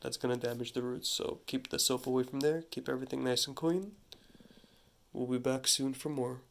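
A man with a stuffy head cold casually demonstrating a gardening task at a kitchen sink, talking in a relaxed, halting way with many restarts. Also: mid-range voice, vocal fry. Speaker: hydroponics gardening channel host. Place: home kitchen at a running sink. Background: running tap water.

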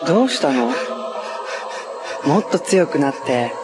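A man's voice: a little high, sing-song, a little slow. An actor playing an eerie, childlike young male villain in a TV drama, serenely sadistic. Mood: calmly menacing. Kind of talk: live-action TV drama acting. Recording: TV soundtrack with music behind.

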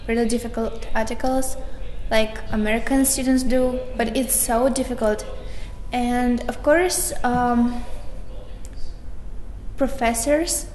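Young russian-accented woman, bit high voice, talks slow and animated, echoey room with hiss. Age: young adult. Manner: animated, laughing, gestures a lot. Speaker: international student. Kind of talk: casual interview. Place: college lounge by large windows. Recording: echoey room with long reverb and faint hiss.

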